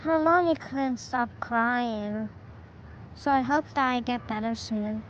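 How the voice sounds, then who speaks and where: A woman's voice: a little high, takes it slowly, dull audio, a little hiss or hum. A woman playing a curious, cheeky six-year-old boy in an audio drama, with blunt, earnest, childlike questioning. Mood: sad, earnest.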